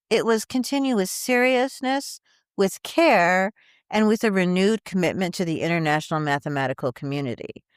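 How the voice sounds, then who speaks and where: A woman narrates a written news article in the role of a journalist. Her voice sits on the low side for a woman. The sound is very dry, with hardly any room around it. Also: no room sound. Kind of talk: scripted article narration, read aloud.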